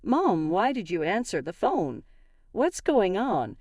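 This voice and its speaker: A defensive husband from an audio family drama, voiced by a woman narrator, makes excuses in a pleading, evasive way. The pitch moves around expressively and the sound is dry. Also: big pitch swings, slow pace, darkish tone, dry recording. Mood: tense. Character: evasive, defensive husband devoted to his mother. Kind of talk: acted dialogue in a narrated story.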